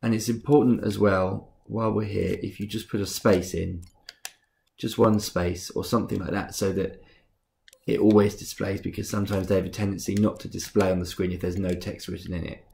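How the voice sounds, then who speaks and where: A young man with a cold walks viewers through a tutorial, his voice husky, rough and noticeably stuffed up. He speaks in a lowish register with a gravelly fry at the ends of phrases. He talks in a relaxed, unhurried way, filling the gaps with frequent ums. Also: slight background noise. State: congested from a cold. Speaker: game tutorial host. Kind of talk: screen-recorded software tutorial.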